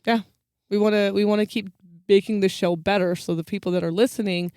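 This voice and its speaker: A man's voice: high-pitched, steady tone, brisk, a little mellow, clean tone, no room sound, clean studio sound. A man co-hosting a podcast in informal, playful casual chat. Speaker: podcast co-host.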